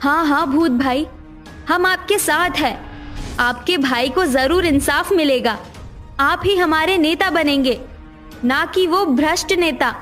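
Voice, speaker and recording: Lady doing super high voiced village woman, eager loyal supporter, cartoon, music behind. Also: slow pace, fairly bright tone. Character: loyal female villager cheering a candidate on. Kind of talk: Hindi cartoon story dialogue.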